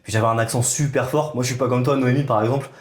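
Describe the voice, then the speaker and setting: A man co-hosting a podcast, telling a personal story in relaxed, casual conversation full of fillers. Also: mid-range voice, racing, reverberant. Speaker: podcast co-host. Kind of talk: casual chat.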